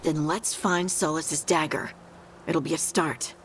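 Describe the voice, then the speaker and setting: A woman's voice: low voice, steady tone, measured pace, slight background noise. An actress playing an earnest, plain-spoken and determined young woman scout in a fantasy game. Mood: resolved. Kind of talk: fantasy video game voice acting.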